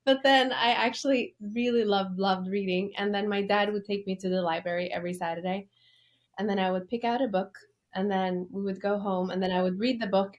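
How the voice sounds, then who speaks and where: A woman from Norway, an author interviewed as a guest, telling her story with enthusiasm and loose fillers. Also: medium pitch.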